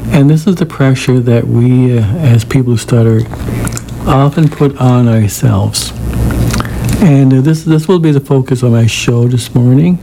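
A man's voice: medium pitch, unhurried, creaky, background noise. A man hosting a show who stutters, with repeated sounds and restarts, talking casually and reading a poem aloud. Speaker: show host. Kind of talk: casual talk and poetry reading.

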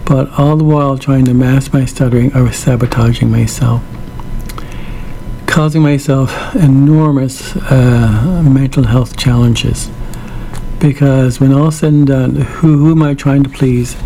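Middle-aged male host with a stutter, a gravelly and mellow voice, speaking reflectively. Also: medium pitch, fairly even intonation, a little slow, a little hiss or hum, rough recording. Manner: stutters, with repetitions and blocks. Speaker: host of a weekly program. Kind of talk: reflective monologue.

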